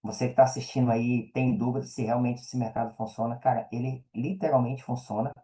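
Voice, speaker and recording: Mellow-voiced Brazilian man, casual and earnest. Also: mid-range voice, little pitch movement, a little fast. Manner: colloquial, earnest, repeats phrases for emphasis. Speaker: podcast guest, affiliate marketer and mentor. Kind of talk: casual podcast conversation.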